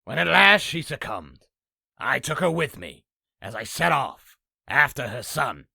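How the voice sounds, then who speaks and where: A man voicing a boastful raspy demon, telling an exaggerated battle story theatrically for a comic dub. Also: mid-range voice, very animated, unhurried, bright, forceful tone, no room sound, clean studio sound, full character voice. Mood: boastful, grandiose. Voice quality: raspy, gravelly. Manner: theatrical, self-aggrandizing. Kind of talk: comic dub character narration.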